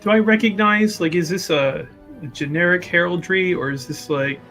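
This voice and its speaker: A man's voice: high-pitched, normal speed, fairly bright tone. A man playing a tabletop roleplaying game, talking casually as one of the players. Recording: music behind the voice. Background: music.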